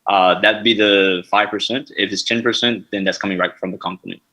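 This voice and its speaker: Low-voiced man answering questions. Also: fairly even intonation, pushed and bright, rough recording. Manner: halting, many fillers and restarts. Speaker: startup founder pitching.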